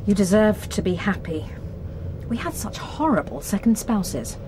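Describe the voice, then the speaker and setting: A woman's voice: mid-range voice, very animated, unhurried. An actress playing an anxious, flaky, middle-aged mother with a fretful, wry manner in a comedy drama. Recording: clear background noise.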